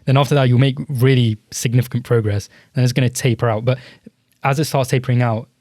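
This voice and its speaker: A young man thinks aloud, hedging and restarting as he reflects in a relaxed podcast chat. His voice sits at an ordinary middle pitch. The recording is dry and close. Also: fast talker, dry recording. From Britain. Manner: hesitant, reflective, hedges with "I guess". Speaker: podcast co-host. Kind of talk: casual podcast discussion.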